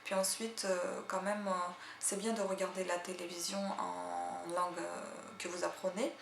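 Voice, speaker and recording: A woman's voice: on the low side, flat delivery, normal speed, vocal fry, soft background music. Chatty woman. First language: Russian. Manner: chatty, hesitant, full of "euh" fillers. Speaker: language-learning channel host. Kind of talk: casual spoken language tips and read-aloud practice. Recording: echoey room.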